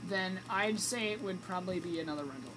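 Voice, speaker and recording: A woman's voice: fairly low pitch, quick pace. A woman co-hosting a radio show, chatting casually through movie reviews in a hesitant, hedging way. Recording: noisy amateur recording.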